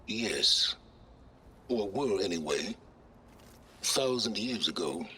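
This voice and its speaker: An actor playing an arrogant, secretive older male researcher in a video game, speaking in a measured, pompous, sardonic and condescending way. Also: lowish voice, animated, bright, forceful tone, breathy edge, heavily acted. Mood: dismissive. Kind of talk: video game cutscene acting.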